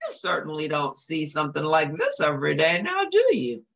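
A woman's voice: deep voice, huge pitch swings, moderate pace, creaky, a bit bright, exaggerated voice. A woman voicing a proper male dog neighbour in a storybook, formal and quaint. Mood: amused surprise.

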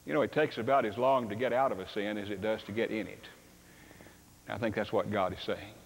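An older man preaching a sermon on a church stage, animated and acting out dialogue. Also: fairly low pitch, brisk, vocal fry. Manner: animated, acts out dialogue with sweeping gestures. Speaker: pastor. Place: large church sanctuary stage. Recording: long reverb of a large hall.

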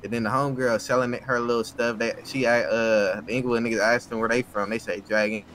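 A man's voice: mid-range voice, level tone, fast, a bit bright. A man roleplaying in a crime game, casually telling street stories in profane slang. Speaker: online roleplay gamer.

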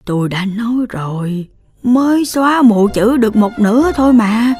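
A woman's voice: a little high, animated, moderate pace, a little mellow, faint music behind, close to an everyday voice. A woman voicing a blustering, simple cartoon village chief who talks defensively.

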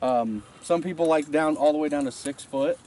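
A man's voice: on the high side, normal speed, mellow. A man in his thirties walks through a fishing technique in a plain, practical way, handling gear as he talks. He is recorded outdoors by a camera mic a few feet away, so his voice sits a little distant, with faint open-air noise behind it. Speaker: fishing instructor. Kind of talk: casual outdoor instructional demo. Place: outdoors on a boat and a snowy riverbank.

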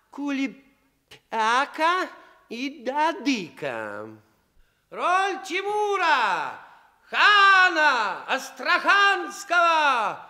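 An actor playing Tartaglia, a pompous clownish commedia dell'arte mask, announcing theatrically with comic stammers and drawn-out words. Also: male voice, extremely high pitch, sharp tone, full character voice. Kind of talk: theatrical comedy master of ceremonies. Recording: Soviet radio stage recording, long hall reverb.

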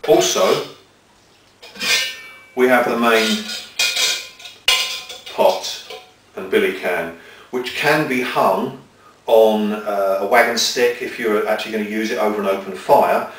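A man's voice: lowish voice, slow, long pauses, far from the mic, noisy background. A middle-aged man with a methodical, step-by-step manner, presenting and demonstrating outdoor cooking gear at a table. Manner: methodical, explains step by step while handling gear. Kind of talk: hands-on equipment demonstration. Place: small indoor room with table of gear. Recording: echoey room, mic set back.

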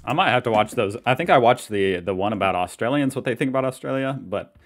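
A man's voice: medium pitch, animated, quick pace. A young American man hosting a reaction video, talking casually with rambling, self-questioning asides from a small room. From United States.

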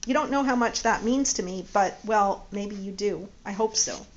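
A woman's voice: mid-range voice, moderate pace, close to an everyday voice. Woman narrator doing a keen, earnest young guy, lo-fi muffled sound. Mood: enthusiastic. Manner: eager, enthusiastic. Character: enthusiastic earnest young man, Sean. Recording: dull lo-fi audio.

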